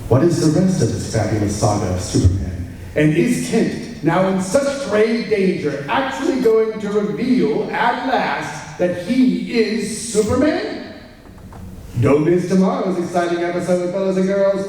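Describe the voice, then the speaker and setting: A man's voice: sits high, big pitch swings, unhurried, a little mellow. A man narrating as a theatrical announcer in an old-time radio serial style, addressing young listeners. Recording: echoey hall, distant, faint noise.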